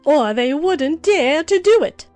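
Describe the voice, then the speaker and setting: A woman's voice: sits high, lively intonation, slow, darkish tone, faint music behind. A woman voicing a haughty, bossy talking flower with an imperious, emphatic drawl in a children's audiobook. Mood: bossy, indignant. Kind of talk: dramatized children's audiobook acting.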